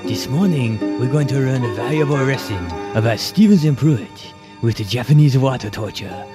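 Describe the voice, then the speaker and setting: A man's voice: mid-range voice, normal speed, music in the background, lightly acted. A man playing a mocking, stern prison-camp commandant in a parody radio bit.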